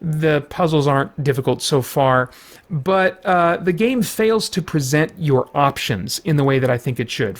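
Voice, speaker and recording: A man giving a casual spoken game review, rambling with many fillers and restarts and sounding mildly exasperated. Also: a little high.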